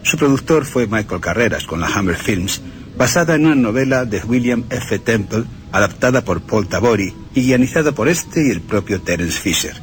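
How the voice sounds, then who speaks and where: A man's voice: mid-range voice, animated, moderate pace, a little hiss or hum. A man with a Castilian Spanish accent narrating a scripted piece of film history as a radio presenter. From Spain.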